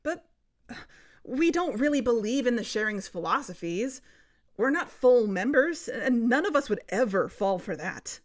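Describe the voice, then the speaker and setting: A woman's voice: fairly high pitch, big pitch swings, a little slow, no room sound, clean studio sound. A woman playing an earnest, plain-spoken investigator in a naturalistic audio drama. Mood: defensive.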